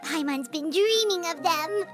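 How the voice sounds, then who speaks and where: Tiny childlike game fairy, extremely high squeaky voice, eager, cheerful, swooping intonation, music behind. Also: female voice, unhurried. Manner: eager, talks of self by name. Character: tiny cheerful childlike fairy companion, food-loving, speaks in third person. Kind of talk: anime video game voice acting. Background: background music.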